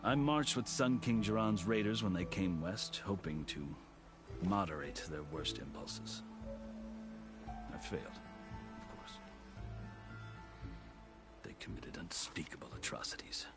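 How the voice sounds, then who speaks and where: An actor playing a seasoned, courteous warrior envoy in a video game, a middle-aged man with a measured, formal, eloquent way of speaking. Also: deep voice, animated. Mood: reflective, wry. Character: seasoned male warrior envoy, courteous, wry, tattooed veteran. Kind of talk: video game dialogue acting. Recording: game music behind the voice.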